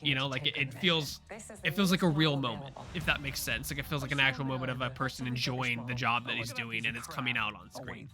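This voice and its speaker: A man co-hosting a pop culture show, chatting casually in a rambling, animated way with lots of fillers and restarts. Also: mid-range voice, fairly quick, music in the background. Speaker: co-host.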